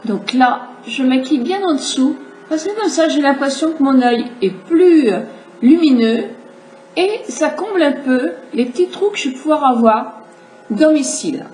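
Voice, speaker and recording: A woman's voice: high voice, animated, a little slow, a little mellow. A middle-aged woman with a slight cold, chatting to viewers as she demonstrates her makeup step by step. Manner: chatty, explanatory, addresses viewers directly. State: has a cold. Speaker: makeup tutorial host. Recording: echoey room with faint background hiss.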